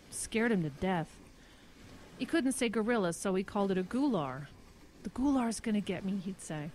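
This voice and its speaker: A woman's voice: mid-range voice, a little mellow, slight background noise, close to an everyday voice, rough recording. A woman acting a thoughtful, haunted survivor in a horror audio drama, speaking in a reflective, tentative way.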